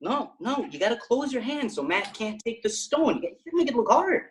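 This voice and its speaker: An animated voice playing a teenage boy who directs amateur films. He is earnest and bossy, in a sitcom script read on a video call. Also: very high voice, brisk, slight background noise.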